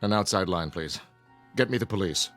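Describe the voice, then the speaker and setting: A man playing a protective, authoritative middle-aged father in a radio drama, brisk and commanding. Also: sits low, a bit bright, faint music behind.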